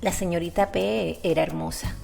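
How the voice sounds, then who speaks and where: A woman's voice: lowish voice, huge pitch swings, moderate pace, vocal fry, full character voice. A woman playing the storyteller of a nostalgic family tale in a scripted radio drama. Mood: wistful. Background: music.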